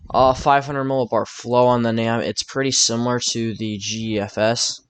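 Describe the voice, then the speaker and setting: A man's voice: mid-range voice, little pitch movement, moderate pace, slight background noise. A man talking informally through a weather forecast over maps, thinking aloud in a casual, tentative way. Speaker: weather forecaster presenting his own video.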